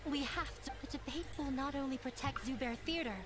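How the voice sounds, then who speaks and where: Casting: actress as teenage-style runaway daughter for anime game, high voice, sorrowful, defeated temper. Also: female voice, a little fast, lightly acted. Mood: resolved. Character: young runaway daughter, guilt-ridden and resigned. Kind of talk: anime video game voice acting.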